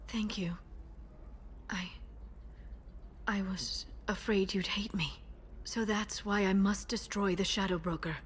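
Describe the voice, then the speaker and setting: A woman playing a guarded, driven young alien information broker bent on revenge, in an earnest, measured and intense manner for a video game. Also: medium pitch, fairly even intonation, slight background noise, close to an everyday voice. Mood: guilty, confessional. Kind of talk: cinematic video game voice acting.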